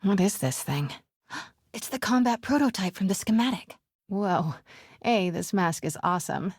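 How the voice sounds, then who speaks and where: A woman playing a determined young reporter in a video game, breathy and often hushed, with a wry way of talking to herself. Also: mid-range voice, expressive, measured pace, dry recording, studio quality. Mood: intrigued. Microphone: close, dry studio mic.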